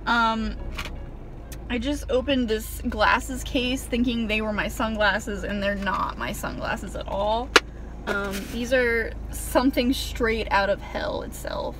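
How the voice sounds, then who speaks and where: A woman's voice: on the high side, slow, low-quality recording. Young woman rambling sarcastically in a parked car. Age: young adult. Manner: sarcastic, self-mocking, rambling with many ums. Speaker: vlogger. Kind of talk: casual storytime monologue. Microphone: camera on the dashboard.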